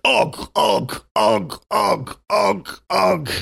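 A man's voice: medium pitch, expressive, measured pace, bright, forceful tone, dry recording, clean studio sound, voice pushed up. A man voicing a chuckling drunken sailor in a storybook, cheekily defiant as he rambles along in salty seafaring talk.

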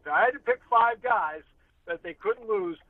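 Thin, dry phone sound. Male sports announcer as guest, fairly high voice, conversational and emphatic. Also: lively intonation, moderate pace, no echo, narrow, tinny audio. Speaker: radio play-by-play announcer as guest.

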